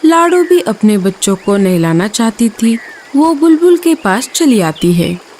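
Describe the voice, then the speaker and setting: A woman's voice: fairly high pitch, very animated, unhurried, a little mellow, a little hiss or hum. A woman giving scripted narration for a children's story.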